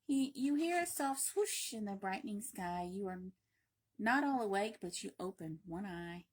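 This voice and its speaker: A woman reading a children's picture book aloud in an animated, playful, emphatic way, with brief asides. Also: medium pitch, normal speed, slightly rough. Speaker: library read-aloud reader.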